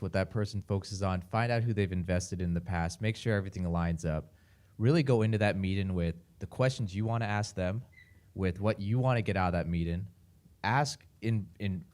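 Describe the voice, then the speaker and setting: Male panelist, lower voice, direct coaching delivery. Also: a little mellow. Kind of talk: panel advice.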